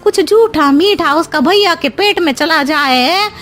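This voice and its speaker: A meddling, jealous sister-in-law from an animated family tale. Her voice is piercingly high and bright, with a rustic Hindi-belt accent. She drags out her words in slow, exaggerated, insinuating grumbles. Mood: sly insinuation. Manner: insinuating, exaggerated, dragged-out complaining. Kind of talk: animated moral story voice acting.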